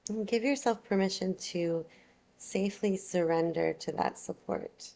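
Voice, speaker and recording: A woman teaching yoga nidra, guiding a relaxation meditation in a soothing, unhurried, gentle way. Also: mid-range voice, vocal fry.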